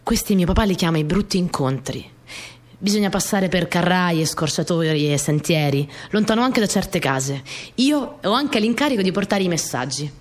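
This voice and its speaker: An actress playing a spirited young wartime partisan courier girl in an expressive radio theatre monologue. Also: fairly low pitch, moderate pace. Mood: earnest, confiding. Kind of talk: dramatic first-person monologue for radio theatre.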